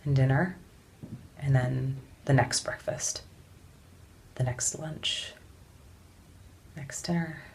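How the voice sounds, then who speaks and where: An actress playing a weary, defiant suffragette in an intense, deliberate theatrical monologue with heavy pauses. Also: female voice, rumbling low, steady tone, gravelly, a little mellow, a little hiss or hum, heavily acted. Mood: haunted, bitter. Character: weary, defiant suffragette woman recalling hunger and beatings.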